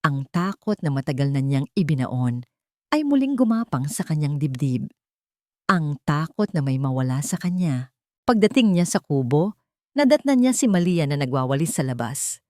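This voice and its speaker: Babaeng tagapagsalaysay na mababa ang boses. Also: female voice, low-pitched, big pitch swings, moderate pace, warm tone, dry recording. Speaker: narrator. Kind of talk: scripted dramatic story narration.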